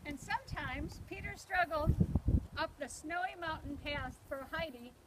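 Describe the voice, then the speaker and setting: Very high-voiced woman reading amid loud noise. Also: normal speed. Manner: encouraging, prompting a co-reader. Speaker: co-reader of a children's story. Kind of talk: reading a storybook aloud with casual asides. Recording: very noisy recording with clicks, animals nearby. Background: animal noises, donkey and goats around.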